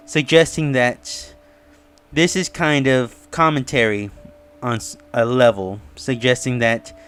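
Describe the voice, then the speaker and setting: A man's voice: mid-range voice, clean tone. A man hosting an online review, musing conversationally through his opinions and theories with frequent asides. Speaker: online video reviewer and host. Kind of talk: casual review and theory commentary.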